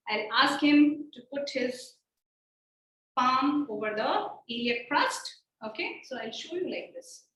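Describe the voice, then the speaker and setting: A woman's voice: high voice, sharp tone, slight background noise. A woman teaching a clinical exam by demonstrating on a volunteer, explaining step by step and checking in with frequent "okay" and "right". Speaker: medical teacher. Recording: very echoey room, voice from far off the mic.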